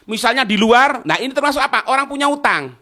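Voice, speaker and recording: Male Islamic teacher, East Javanese accent, high-pitched with big melodic swings, informal question-and-answer lecturing. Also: lively intonation, fast talker, sharp tone. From East Java, Indonesia. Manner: asks and answers own questions, checks listeners understand. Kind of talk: informal religious lecture explaining a text line by line.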